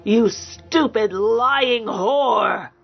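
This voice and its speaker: A woman's voice: a little high, drawn-out words, creaky, slightly rough, soft background music, voice pushed up, exaggerated voice. Brutish abusive male lover played by a woman narrator, growling and contemptuous, storybook drama, slightly muffled sound. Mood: enraged, contemptuous. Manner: growling, bullying, roaring contempt. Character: big brutish angry man, abusive sneering lover.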